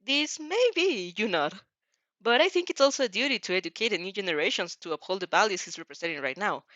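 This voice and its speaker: A woman's voice: medium pitch, animated, fast, sharp tone, no echo. Woman chatting casually on a slightly muffled mic. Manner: hesitant, giggly, self-correcting. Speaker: host of fanfiction reading show. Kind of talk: casual chat and fanfiction reading aloud.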